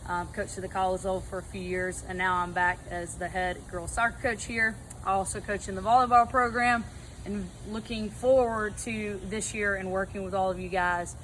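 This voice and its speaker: A woman's voice: medium pitch, flat delivery, normal speed, fairly bright tone, a little hiss or hum, rough recording. An American woman in her thirties, a PE coach, casually introducing herself to students. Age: 30s. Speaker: PE teacher and soccer coach.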